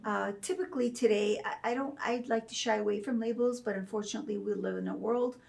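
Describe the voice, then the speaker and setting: Creaky-voiced thoughtful woman guest. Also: mid-range voice, steady tone. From Saudi Arabia. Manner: measured and thoughtful, with frequent soft fillers. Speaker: guest, professor of religion. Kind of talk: reflective interview storytelling.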